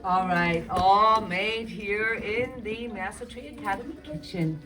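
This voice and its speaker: A woman's voice: mid-range voice, a little slow. A woman teacher hosting a school performance, announcing, narrating dramatically and prompting children in an encouraging, directing way, recorded from a distance. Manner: encouraging, directing, repeats lines loudly for children. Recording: music behind, picked up from a distance.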